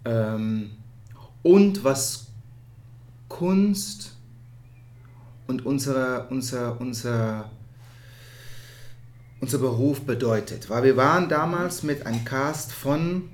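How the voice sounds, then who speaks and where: A man from the Netherlands speaks German with a Dutch accent in a reflective interview, often halting to search for words in an animated way. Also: mid-range voice. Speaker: interview guest, musical theatre performer.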